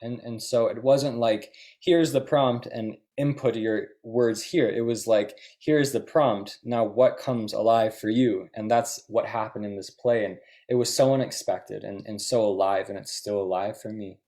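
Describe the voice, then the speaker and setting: A man with a dark, relaxed timbre and a slightly low pitch speaks as a panelist. His delivery is unscripted and reflective, like feedback offered on the spot. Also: a little slow, few pauses.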